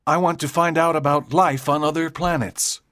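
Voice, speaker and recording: A woman voicing a brave, calm, curious schoolboy in dialogue from a story. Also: deep voice, moderate pace, dry recording, clean studio sound. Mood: earnest. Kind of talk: audiobook character dialogue.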